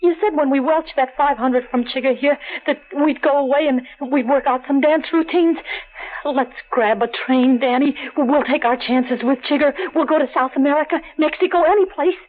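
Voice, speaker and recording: An actress playing a frightened, tearful young wife of a crook in a 1940s radio drama, pleading in rough working-class speech. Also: female voice, sits high, normal speed, slight background noise, natural voice. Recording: tinny old radio broadcast.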